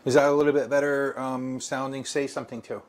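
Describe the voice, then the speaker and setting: A man co-hosts a casual live stream and chats with a mid-range voice. He rambles and keeps restarting his sentences, filling the pauses as he goes. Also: mid-range voice, flat delivery. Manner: chatty, rambling, with frequent fillers and restarts.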